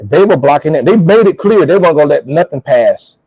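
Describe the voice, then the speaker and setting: A man with a somewhat high-pitched voice talks politics in a loose, opinionated style. He is blunt and colloquial, hammering points by repeating them. The sound is close and dry. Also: a little fast, breathy edge, dry recording, low-quality recording. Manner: animated, emphatic, repeats phrases. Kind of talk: opinionated casual political chat.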